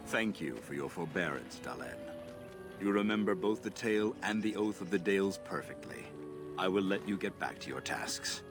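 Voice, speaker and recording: A man playing a kindly, solemn old elven lorekeeper in a fantasy game, speaking in a measured, formal way. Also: sits low, animated. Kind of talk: scripted fantasy game acting. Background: game music.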